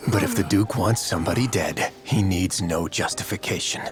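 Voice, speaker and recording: A man playing a principled, coolly defiant young prison warden in a video game, measured, confident and quietly threatening. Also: low-pitched, faint music behind, natural voice. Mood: cold threat. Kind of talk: dramatic video game voice acting.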